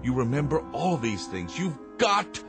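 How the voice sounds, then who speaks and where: An actor playing a tender, earnest older inventor father in a radio drama, placating and halting. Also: medium pitch, sing-song, music in the background. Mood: earnest pleading.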